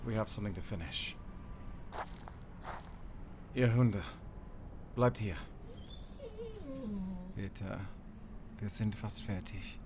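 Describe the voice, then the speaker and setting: A man's voice: on the low side, takes it slowly, pauses often, very noisy. A man playing a grim, determined werewolf hunter, speaking intently and commandingly in an audio drama. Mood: relieved, resolute. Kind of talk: cinematic audio roleplay acting.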